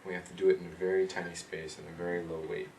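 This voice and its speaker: A young man answering interview questions in a relaxed way, thinking aloud with lots of ums and uhs. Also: deep voice, racing. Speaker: interviewee, rover systems engineer. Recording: roomy, distant mic, clear background noise.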